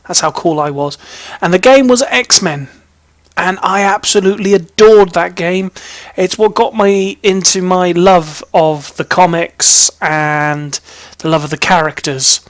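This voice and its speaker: A man's voice: high-pitched, unhurried, dry recording. A young man with an English accent, chatting casually about himself as a vlog host in a rambling, playful, self-interrupting way. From British, raised in army family in Germany, Hong Kong and England. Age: young adult. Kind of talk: casual chatty personal storytelling to camera.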